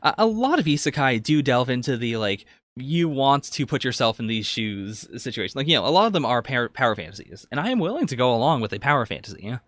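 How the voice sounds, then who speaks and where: A man's voice: mid-range voice, sing-song, quick pace, few pauses, fairly bright tone, no room sound. Analytical man in close, dry sound. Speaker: podcast co-host. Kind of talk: casual anime discussion.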